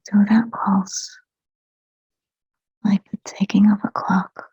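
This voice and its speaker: A woman guides a meditation in a very breathy, whispered voice. Her delivery is extremely slow and gentle, with little rise or fall in pitch and long pauses between short soothing phrases. The recording is dry and close, and slightly dull. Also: no echo. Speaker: hypnotherapist guiding a meditation. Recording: dull, muffled lo-fi sound.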